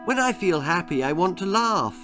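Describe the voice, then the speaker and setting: A man's voice: high-pitched, big pitch swings, normal speed, music in the background, voice pushed up. A man voicing a precocious, frank baby girl in a children's storybook, with a chirpy, earnest manner. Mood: frank, plaintive.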